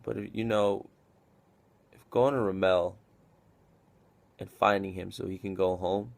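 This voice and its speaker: A man's voice: low voice, steady tone, measured pace, gravelly. A man playing a weary, reflective army captain in a film drama monologue, subdued, hesitant and confessional.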